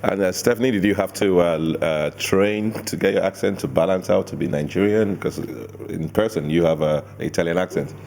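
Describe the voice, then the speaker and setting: Deep-voiced man moderating a panel. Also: fairly quick, vocal fry, few pauses, darkish tone, slight background noise. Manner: rambling, stammering questions. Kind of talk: hosting a festival Q&A.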